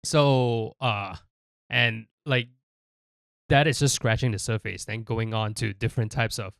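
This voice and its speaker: A man's voice: mid-range voice, steady tone, measured pace, gravelly, a bit bright, clean tone, dry recording, studio quality. A man from Malaysia hosting a casual podcast, explaining things in a rambling way and often checking in with "right?" and "you know". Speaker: podcast host.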